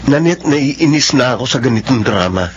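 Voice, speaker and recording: A man's voice: mid-range voice, expressive, normal speed, lightly acted. A man playing a curt, frustrated young boyfriend. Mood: irritated. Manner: curt, irritated. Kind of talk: spoken drama acting. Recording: dull lo-fi audio with faint noise.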